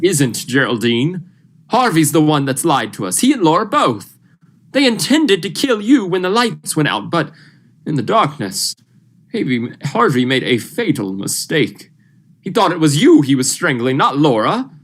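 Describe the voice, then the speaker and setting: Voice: mid-range voice, sing-song, normal speed. A young performer playing an urgent, commanding male doctor in an old-style radio thriller, emphatic and melodramatic. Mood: urgent, persuading. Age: young adult. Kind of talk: 1940s radio thriller acting.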